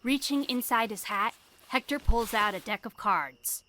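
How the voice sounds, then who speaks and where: A woman's voice: on the high side, unhurried, pushed and bright. A woman narrating a spooky fantasy audio drama as a dramatic, scripted storyteller. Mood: dramatic storytelling. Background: music behind the voice.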